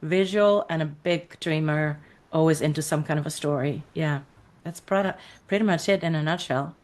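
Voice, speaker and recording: A woman from Iceland with a notably low, deep voice talks through her childhood in a relaxed interview. She reflects as she goes, pausing on fillers and telling stories. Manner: reflective, storytelling, frequent fillers and laughs. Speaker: podcast guest, creative coach. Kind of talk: casual interview conversation.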